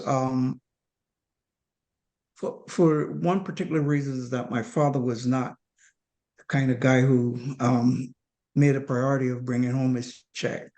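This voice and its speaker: A Barbadian man in his seventies, a podcast guest calmly recounting his life in measured, reflective speech. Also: medium pitch, gravelly. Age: 70s. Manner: calm, measured, reflective with hesitant restarts. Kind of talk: reflective storytelling.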